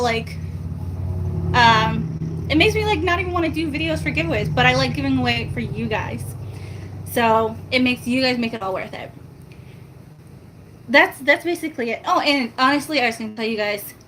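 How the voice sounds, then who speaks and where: Chatty soft-spoken woman. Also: slight background noise, poor audio. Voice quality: soft-spoken, high-pitched. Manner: chatty, rambling, self-mocking, laughs often. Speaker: family vlogger. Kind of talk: casual chat to viewers.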